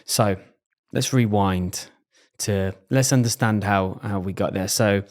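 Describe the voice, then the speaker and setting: A casual podcast host, a man with a somewhat low voice. He talks in a loose, agreeable way, stumbling over repeated words as he circles toward his questions. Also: takes it slowly. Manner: conversational, repeats words and restarts, agreeable "yeah" and "mm". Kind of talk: casual interview conversation.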